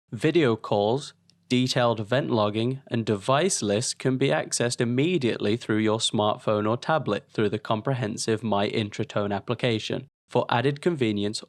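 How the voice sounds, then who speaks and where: A man reading a scripted promotional product voice-over in a polished, measured, presentational way. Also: mid-range voice, no echo, studio quality. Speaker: product video narrator.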